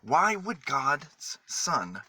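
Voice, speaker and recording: A man reading a children's story aloud in a dramatic, deliberate manner with emphatic pauses. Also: a little high, very animated, pushed and bright, breathy edge.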